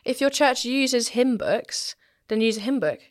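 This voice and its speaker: A woman's voice: on the high side, lively intonation, measured pace, dry recording, clean studio sound. A woman speaking conversationally as an interview guest, explaining things in a thoughtful way. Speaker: interview guest, church musician and singer.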